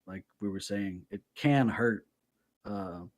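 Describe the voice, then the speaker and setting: A man's voice: on the low side, lively intonation, takes it slowly, vocal fry. Enthusiastic stammering man from Florida. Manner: friendly, enthusiastic, stammering restarts. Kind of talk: casual podcast chat.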